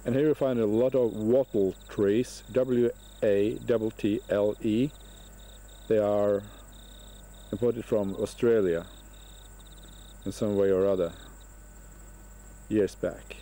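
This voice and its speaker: A man narrating a home travel video outdoors by a lake in a slow, halting way, recorded on a camcorder. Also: on the low side, steady tone, dark tone. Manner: slow, halting commentary with pauses.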